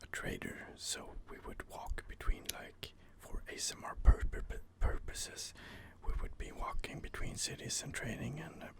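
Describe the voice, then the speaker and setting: A man whispering through a gaming ASMR session, his voice heavy with gravelly fry. His hushed delivery still moves up and down a lot in pitch, and he hesitates and restarts his thoughts as he chats with viewers. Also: wildly swooping pitch, moderate pace, few pauses. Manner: hesitant, with many fillers and restarts. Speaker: let's play host. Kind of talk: whispered ASMR gameplay commentary.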